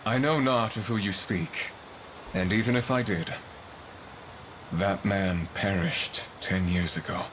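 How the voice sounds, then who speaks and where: An actor playing a guilt-ridden, weary fallen knight in a fantasy video game, speaking gravely in formal archaic language. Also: male voice, sits low, takes it slowly, noisy background. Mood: guarded, denying.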